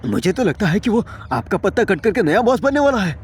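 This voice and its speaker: A man voicing panicky, excitable school characters in a Hindi anime fan dub, with a dramatic, stammering delivery. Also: sits high, fairly quick, a little hiss or hum. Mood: shocked, gossiping.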